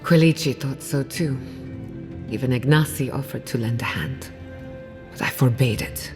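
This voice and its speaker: Video game companion: confident female researcher, low register, dry wit, guarded, over music. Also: normal speed. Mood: somber, guarded. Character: driven, wry female scholar, guarded about family loss. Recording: music playing behind the voice.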